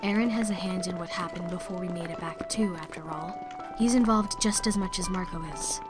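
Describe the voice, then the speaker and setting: A woman's voice: fairly low pitch, a little fast, over music, close to an everyday voice. A woman playing a determined, protective young soldier in an audio drama, firm and resolute.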